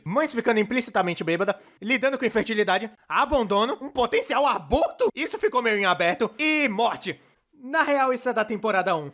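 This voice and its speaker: A narrator with a Brazilian accent gives scripted cartoon commentary in an expressive, conversational, comically emphatic way. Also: medium pitch. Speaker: narrator of a cartoon opinion video. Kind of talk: scripted animated commentary video narration, fan dub.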